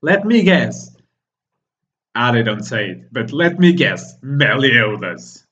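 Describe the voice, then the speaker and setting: Reaction host, man, English not his first language, slow and excitable with frequent laughs, on a dull lo-fi recording. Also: mid-range voice, a little hiss or hum. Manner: excitable, laughs often, reads lines aloud. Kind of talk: live reading and reaction.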